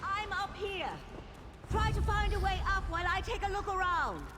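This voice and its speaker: A woman's voice: very high voice, normal speed, very noisy. A woman voicing an earnest, alert young adventuring companion in a fantasy video game. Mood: searching, concerned. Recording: echoey, distant, music behind.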